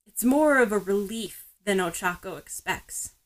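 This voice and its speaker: A woman's voice: medium pitch, animated, measured pace, low-quality recording. A woman narrating fan fiction expressively, as in an audiobook. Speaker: podfic reader.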